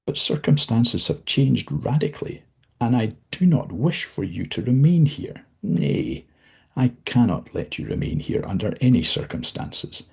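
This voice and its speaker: A man's voice: mid-range voice, very animated, a little slow, creaky, darkish tone, breathy edge, pitched above the performer's own voice, heavily acted. A man voicing the devil as a formal, condescending and menacing figure. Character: the devil, suave, menacing, all-knowing male.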